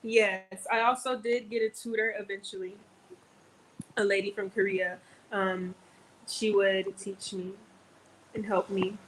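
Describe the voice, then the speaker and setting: A woman's voice: medium pitch, flat delivery, unhurried, pauses often, poor audio. An American woman chatting casually as a guest on a live stream. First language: English. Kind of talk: casual chat. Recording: clear background noise on livestream audio.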